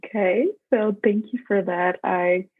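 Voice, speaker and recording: A woman, chatting casually as a podcast guest, relaxed and rambling with frequent fillers. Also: medium pitch, measured pace. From Mexico. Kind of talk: casual interview chat. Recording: tinny phone-like remote call line.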